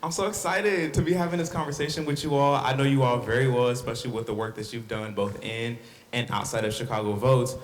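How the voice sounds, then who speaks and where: A man's voice: medium pitch, fast talker, reverberant, a little hiss or hum. A man hosting a discussion podcast, opening with upbeat introductions and then asking his guests questions. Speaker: host.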